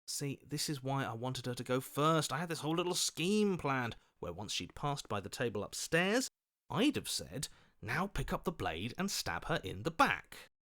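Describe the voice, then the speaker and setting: Smarmy scheming opportunist with swooping pitch. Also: male voice, sing-song, normal speed, pushed and bright. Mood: scheming, defensive. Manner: smarmy, wheedling, theatrical. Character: scheming, flattering, self-serving opportunist, a voice in the head. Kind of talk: video game character voice lines.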